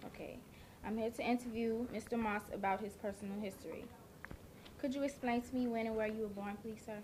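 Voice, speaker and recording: A woman's voice: on the high side, fairly even intonation, brisk, a little mellow, slight background noise. A young woman conducting a formal oral history interview, asking prepared questions politely.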